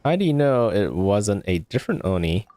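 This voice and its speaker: Casting: male let's player, deep voice, lively intonation, casual reactive musing. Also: moderate pace. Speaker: game streamer. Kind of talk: casual let's play commentary.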